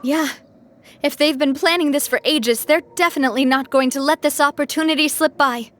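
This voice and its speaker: A determined young woman leader, played by an actress, with a high, bright-pitched voice. She gives orders quickly and decisively. Faint background noise sits under her lines. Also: natural voice. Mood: urgent. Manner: urgent, decisive. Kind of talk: game cutscene voice acting.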